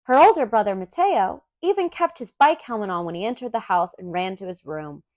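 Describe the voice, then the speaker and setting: A woman reads a children's story aloud in an expressive, clearly enunciated storytelling manner. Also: medium pitch, fairly quick, no echo, clean studio sound. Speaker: storybook reader.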